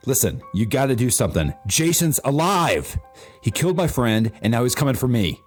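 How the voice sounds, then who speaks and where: Here is a frantic young man played by a male amateur reader in a horror radio play. His delivery leaps up and down in pitch as he pleads defiantly to be believed, with faint music behind him. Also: big pitch swings, fairly quick. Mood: urgent, agitated.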